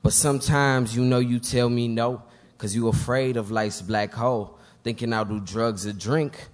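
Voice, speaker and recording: Earnest man reciting poetry, medium pitch. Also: steady tone, normal speed. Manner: earnest, rhythmic short phrases. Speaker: slam poet. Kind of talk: spoken-word poetry performance.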